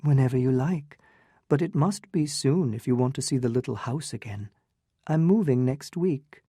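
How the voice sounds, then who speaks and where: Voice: mid-range voice, lively intonation, moderate pace, mellow, breathy edge, dry recording, clean studio sound. A narrator voicing a poised young countess who is guarded and proud, speaking in a lighter, clipped, composed way. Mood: brisk.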